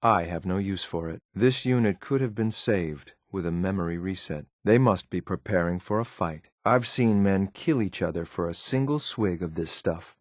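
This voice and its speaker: An actor playing a cold, loyal synthetic enforcer in a video game, speaking in a clipped, formal, deadpan and disdainful way. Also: male voice, low voice, normal speed, few pauses, dry recording, clean studio sound, close to an everyday voice. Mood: detached, matter-of-fact. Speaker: video game companion character. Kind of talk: scripted game voice lines.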